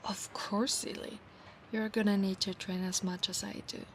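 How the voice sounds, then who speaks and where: A woman with an Italian accent playing a proud, obsessive female centaur knight in a soft-spoken, formal ASMR roleplay, often dropping into breathy whispers. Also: medium pitch, level tone, moderate pace, lightly acted. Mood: conversational. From Italy. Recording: clear background noise, ambience.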